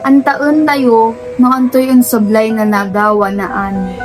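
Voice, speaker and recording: A woman's voice: fairly high pitch, level tone, slow pace, poor audio. A young woman playing the narrator of a mystery radio drama, with a Pangasinan accent and scripted delivery. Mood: solemn narration. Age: young adult. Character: storyteller narrator of an aswang mystery tale. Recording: loud music bed under voice.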